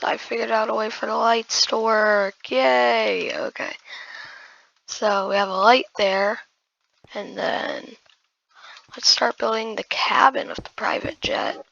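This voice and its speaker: Hoarse, creaky woman muttering gameplay commentary. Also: mid-range voice, sharp tone, highs cut off. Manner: muttering half-whispered asides to self, addressing viewers as guys. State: hoarse, losing voice after just waking up. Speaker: gaming video creator. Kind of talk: casual gameplay commentary while building.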